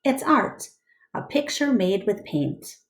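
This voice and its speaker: A woman voicing a proud, thoughtful adult male gorilla in a storybook, measured and reflective. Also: medium pitch, sing-song, reverberant.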